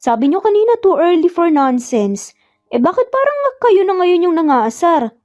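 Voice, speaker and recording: A woman's voice: very high voice, big pitch swings, normal speed, a little mellow, faint music behind, pitched above the performer's own voice. A Filipino woman voicing a cheeky young nanny who teases with playful exclamations in a story. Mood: startled, indignant. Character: cheeky, teasing young nanny talking back to boss.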